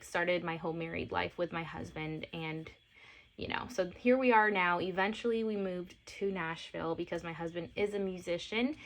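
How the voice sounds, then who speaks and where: A woman in her late twenties talking to camera in a casual vlog, gushing and a little teary, with many fillers. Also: on the low side. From born in Costa Rica, grew up in Miami. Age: 20s. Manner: emotional, gushing, heavy on fillers. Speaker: lifestyle and mom vlogger.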